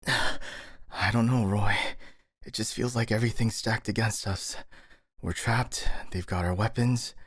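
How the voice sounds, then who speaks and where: A man's voice: lowish voice, steady tone, slow pace, a bit bright, no room sound, clean studio sound. A man playing a worried, exasperated master ninja in an audio roleplay, with a wry, scolding, grumbling manner. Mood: worried, urgent.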